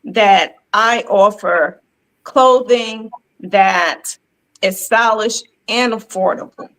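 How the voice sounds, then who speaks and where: A woman in her fifties with a New York accent speaks in an unhurried, deliberate way, stacking up earnest affirmations one after another. She talks over a video call, her voice sitting at a middle pitch. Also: fairly bright tone, poor audio. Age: 50s. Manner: slow, earnest, affirming repetition. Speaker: resale boutique owner, award finalist. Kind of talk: virtual meet and greet interview.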